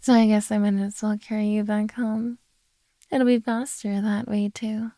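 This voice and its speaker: A woman playing a possessive, teasing, motherly beast-girl captor in an intimate ASMR roleplay, speaking softly in a close-up murmur that slips into whispers. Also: mid-range voice, flat delivery, natural voice. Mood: fond, possessive. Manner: slow, soft teasing murmur slipping into whispers. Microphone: close ASMR microphone.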